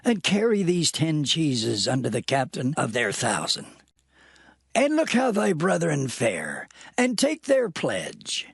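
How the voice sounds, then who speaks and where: Old father, scripture audio drama: creaky, breathy, pitch swinging widely as he instructs his son. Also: wildly swooping pitch, takes it slowly, no echo, clean studio sound, heavily acted. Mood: gentle instruction.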